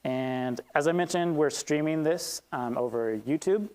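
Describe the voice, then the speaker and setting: A man hosts a community talk evening, reading prepared remarks in a steady manner. When he thanks sponsors and introduces the guest, he talks more loosely, with a few ums. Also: a little high, a little slow, poor audio. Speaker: event host. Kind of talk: reading a land acknowledgement and introducing a speaker. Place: event hall with audience.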